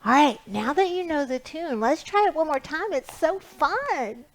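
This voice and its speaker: A woman's voice: sits high, lively intonation, a little slow. A woman hosting a storytime for young children, explaining things simply and encouragingly. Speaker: library storytime host. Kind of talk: children's storytime presentation with read-aloud and singing.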